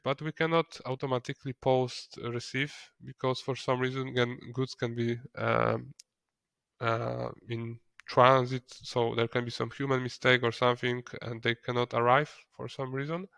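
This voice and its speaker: Gravelly male software tutorial narrator. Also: medium pitch, flat delivery, moderate pace, no echo. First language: not English. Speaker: software trainer.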